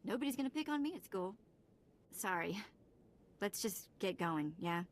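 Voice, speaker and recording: A woman's voice: mid-range voice, expressive, normal speed, close to an everyday voice. A woman playing a cheeky young female sidekick in a video game, casual and playfully sarcastic. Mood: playful, relieved. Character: wry, cheeky young female companion, freed slave. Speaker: voice actor in a game. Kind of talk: cinematic video game acting.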